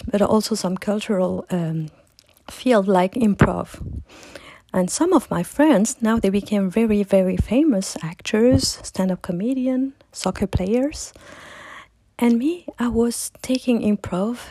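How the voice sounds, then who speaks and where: A woman's voice: medium pitch, dark tone, slightly rough, dry recording. A middle-aged woman from France with a French accent, hosting a podcast and telling her own story in a reflective, conversational way with frequent restarts. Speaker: podcast host. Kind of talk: personal storytelling monologue.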